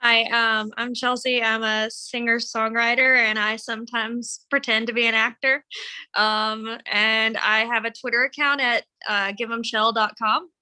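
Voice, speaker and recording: A woman's voice: a little high, fairly even intonation, a little slow, pushed and bright, clear, resonant tone, no echo, rough recording. A woman introducing herself casually and informally. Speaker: singer-songwriter.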